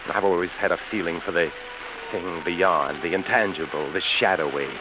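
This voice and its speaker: An actor playing a sleepless, obsessive artist in an old radio horror play, halting and theatrical, pleading and morbid. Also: male voice, low-pitched, close to an everyday voice. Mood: brooding, ominous. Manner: theatrical, halting, repeats words in mounting agitation. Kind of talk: golden-age radio horror acting. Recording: muffled, hissy old radio recording.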